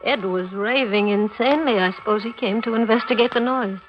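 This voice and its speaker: A woman's voice: on the high side, fairly quick, muffled recording, close to an everyday voice. An actress playing a guarded married woman under questioning in a 1940s radio drama, speaking tersely and formally. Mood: strained. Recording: band-limited 1940s radio recording with music.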